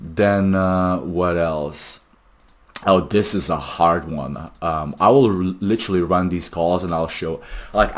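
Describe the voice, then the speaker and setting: Deep-voiced man in a casual explainer vlog. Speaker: AI phone agent developer presenting a video.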